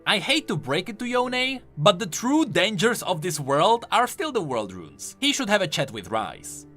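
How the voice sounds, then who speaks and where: Bright, very animated man explaining game lore. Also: sits high, normal speed, soft background music. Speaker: video game lore commentator. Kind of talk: enthusiastic explanatory commentary.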